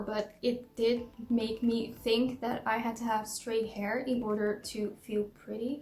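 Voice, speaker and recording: A young woman from the Netherlands talks to her viewers in an earnest, reflective vlog, reading out each question before she answers it. Her voice sits a little high, and the room gives back a noticeable echo. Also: darkish tone. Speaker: vlogger. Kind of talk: casual tag video, answering questions to camera. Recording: echoey room.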